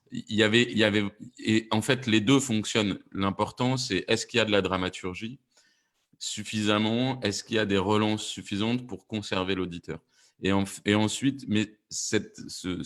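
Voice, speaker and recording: A man's voice: deep voice, fairly bright tone. A man speaking casually as a producer in a panel discussion, restarting phrases and repeating himself for emphasis. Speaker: panelist, audio fiction producer.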